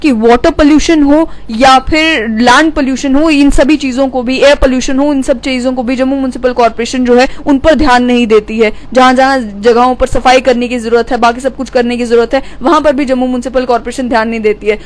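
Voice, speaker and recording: A woman's voice: high voice, steady tone, brisk, hardly any pauses. A woman reporter, asking long, probing questions in an interview.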